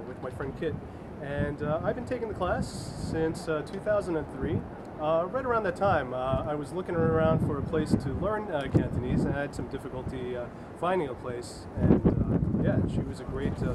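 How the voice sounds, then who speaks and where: A man speaks in a fairly high, easygoing voice, filling pauses with "uh." He is recorded outdoors on a city street, with loud traffic behind him. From Queens, New York. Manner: relaxed, many "uh" fillers. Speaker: language student.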